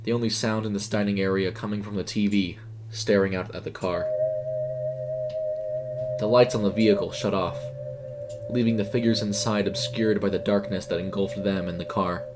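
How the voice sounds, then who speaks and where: Low-voiced man narrating horror with music. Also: fairly even intonation, normal speed. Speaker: audio fiction narrator. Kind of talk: scripted horror story narration.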